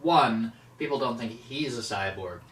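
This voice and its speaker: A man's voice: medium pitch, lively intonation, unhurried, fairly bright tone, slight background noise. A man chiming in as a companion with casual, joking speculation. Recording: roomy, distant from the microphone.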